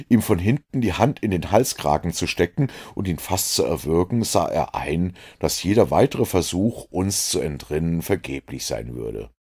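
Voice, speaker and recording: A male audiobook narrator with a very low, gravelly voice. He tells the story in an even way with a dramatic lift, his pitch moving widely and his tone husky. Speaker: audiobook reader.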